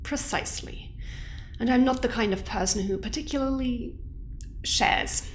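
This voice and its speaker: A woman's voice: a little high, measured pace, slight background noise, lightly acted. Curt, stern woman scientist, played by an actress. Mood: irritated, firm. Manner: blunt, clipped, reproachful. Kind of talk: audio drama acting.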